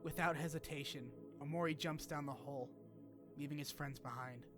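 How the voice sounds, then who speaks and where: A man's voice: fairly high pitch, normal speed. A man narrating a scripted video essay about a game in an informal, sweary, opinionated way. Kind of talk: scripted game retrospective narration with casual asides. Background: background music.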